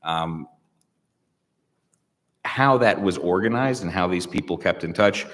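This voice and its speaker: Deep-voiced american guy thinking out loud, lots of ums, echoey hall. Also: measured pace. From United States. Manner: thoughtful, hesitant, many fillers and restarts. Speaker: guest speaker. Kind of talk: reflective conversational interview. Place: public hall. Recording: long reverb of a large room.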